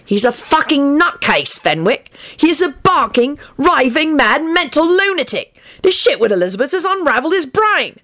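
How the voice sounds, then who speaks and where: A woman voicing a brash, vain, hot-headed young man in an audiobook story, loud and cocky. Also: high-pitched, huge pitch swings, normal speed, dry recording, voice pushed up, full character voice. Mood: angry.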